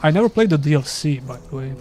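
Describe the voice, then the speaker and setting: A man's voice: on the high side, lively intonation, fast talker, darkish tone, faint music behind. A man from Serbia with a Serbian accent, a gaming streamer chatting casually and self-deprecatingly while playing live. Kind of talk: casual live gameplay commentary.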